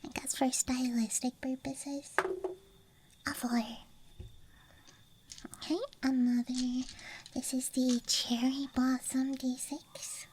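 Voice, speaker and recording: A woman whispering softly and breathily in an ASMR style, murmuring gently as if to herself, close to the microphone. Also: high-pitched, little pitch movement, slow, long pauses, noisy background. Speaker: ASMR streamer playing a dice goblin persona. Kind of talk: ASMR, soft whispering to the listener.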